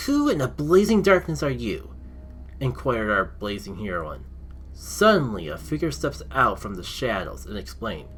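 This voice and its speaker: A man's voice: lowish voice, sharp tone. A man narrating expressively, with dramatic emphasis, as a video creator telling his own story. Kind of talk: casual intro, then reading own written story aloud.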